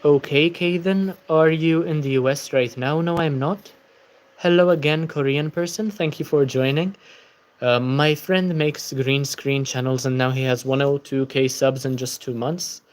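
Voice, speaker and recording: A man's voice: a little high, steady tone, measured pace. A tired young man hosting a casual book-channel livestream, chatting and answering viewer comments.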